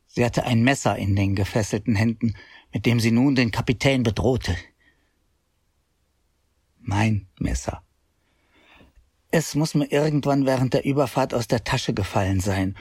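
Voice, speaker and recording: A man's voice: medium pitch, a little mellow, husky, no echo, studio quality. An actor playing a young crusade survivor recounting his ordeal in a radio play, bitter and agitated. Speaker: narrator.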